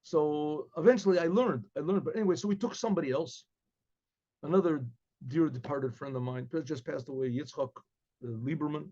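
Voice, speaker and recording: Older man, American accent, storyteller, rambling, throat-clearing, slightly high, mellow, dull webcam sound. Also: brisk. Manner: rambling, repeats words, clears throat often. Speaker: rabbi telling a story. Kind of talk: casual storytelling class. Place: study lined with bookshelves. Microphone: webcam at desk.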